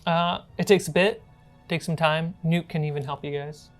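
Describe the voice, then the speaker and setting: A woman's voice: low voice, fairly even intonation, moderate pace, faint music behind. A woman working as game master, narrating a tabletop role-playing game in an informal way.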